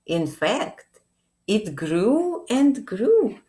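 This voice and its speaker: A woman in her forties, a children's librarian, telling a picture-book story to young children in a deliberate, expressive way with check-in questions. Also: medium pitch. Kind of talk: read-aloud storytime addressed to young children. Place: small indoor room, plain wall.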